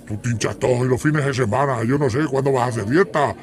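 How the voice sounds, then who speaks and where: A man's voice: medium pitch, fast. A man giving joking, teasing commentary while someone eats. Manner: joking, ribbing the eater.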